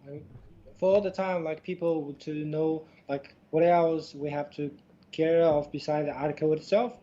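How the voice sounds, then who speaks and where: A man's voice: a little high, steady tone, normal speed, warm tone, lo-fi sound. A man whose first language is Chinese co-hosts a podcast, speaking English hesitantly with many fillers. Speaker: podcast co-host. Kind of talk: casual podcast chat.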